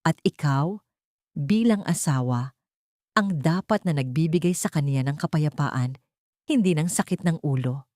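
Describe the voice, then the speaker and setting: A haughty old matriarch from a family melodrama, performed by a female narrator. She is cutting and imperious, with a lowish voice in a dry recording. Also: a little slow, pauses often, a little mellow, no echo. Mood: stern, scornful. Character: haughty, domineering older matriarch mother-in-law.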